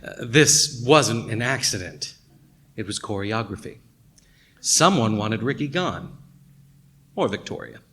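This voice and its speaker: Man playing a terse, brooding radio-play detective, bright voice. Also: on the low side, animated, a little slow, long pauses. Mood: grave, dramatic. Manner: terse, dramatic pronouncements. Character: self-appointed amateur detective, brooding and theatrical. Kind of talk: murder mystery radio play acting. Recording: noticeable background noise.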